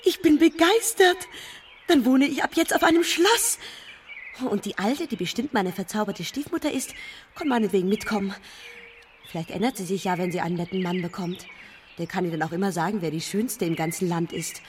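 A woman's voice: medium pitch, animated, a little fast, far from the mic, slight background noise, lightly acted. A woman playing a young, self-satisfied princess in a children's fairy-tale radio play, pert and airy. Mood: smug, pleased.

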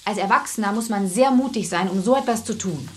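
A woman's voice: mid-range voice, brisk, a little hiss or hum, close to an everyday voice. An actress playing a kindly, encouraging old woman who coaxes and advises earnestly in a radio play. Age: older. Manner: coaxing, earnest.

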